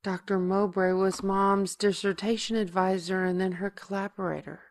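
A woman's voice: mid-range voice, level tone, lightly acted. Woman acting a tender, protective big sister. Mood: tender, nervous. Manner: tender, halting, emotional. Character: protective, tender older sister explaining family past. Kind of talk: audio drama dialogue.

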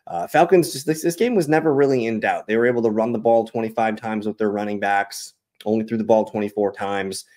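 Low-voiced man talking fantasy football. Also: brisk, darkish tone. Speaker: fantasy football show host. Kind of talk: casual sports analysis, reading out stats.

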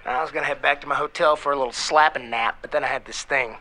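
An actor playing an easygoing, kind, joking baseball player in a naturalistic romantic comedy audio drama. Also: male voice, mid-range voice, steady tone, fast, sharp tone, lightly acted. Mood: casual, teasing. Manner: casual, joking, frank.

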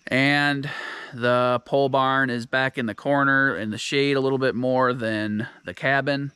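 A man's voice: medium pitch, unhurried, clear, resonant tone, no room sound, clean studio sound. A man in his forties casually explaining a building project, filming outdoors on a homestead with a handheld camera. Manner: relaxed, explains as he works. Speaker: vlogger. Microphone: action camera held close.

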